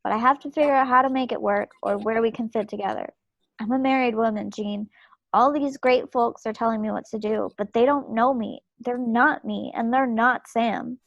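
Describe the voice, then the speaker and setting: A woman's voice: a little high, steady tone, normal speed, vocal fry, no room sound. A woman playing a stubborn, spirited young 1940s war wife with folksy directness, in a play reading over a video call. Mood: defiant. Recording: muffled video-call audio.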